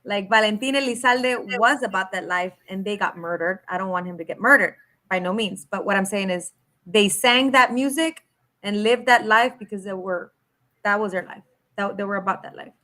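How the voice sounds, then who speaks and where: Bright, emphatic woman podcast host. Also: medium pitch, moderate pace. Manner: emphatic, opinionated, mixes in Spanish words. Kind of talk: casual chat.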